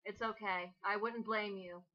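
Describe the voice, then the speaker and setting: A woman's voice: medium pitch, steady tone, a little slow. A woman chatting casually and reading aloud with sarcastic, exasperated commentary, recorded on a muffled lo-fi home mic. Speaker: co-host of a mock dramatic reading.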